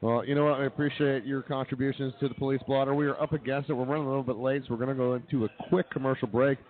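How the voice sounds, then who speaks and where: A man hosting a radio show, brisk and emphatic as he moves the show along. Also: medium pitch, fairly even intonation. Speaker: radio host. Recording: tinny phone-like sound.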